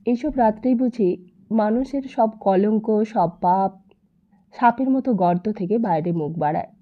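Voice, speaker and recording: A woman's voice: mid-range voice, phone-quality sound. A woman narrating a scripted story as a dramatic audiobook reader.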